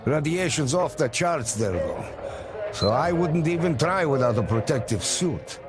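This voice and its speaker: A man playing an easygoing, friendly survivor in a video game, talking in a chatty, gravelly, drawn-out way. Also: fairly high pitch, big pitch swings, over music. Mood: calm, helpful. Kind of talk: video game cutscene acting.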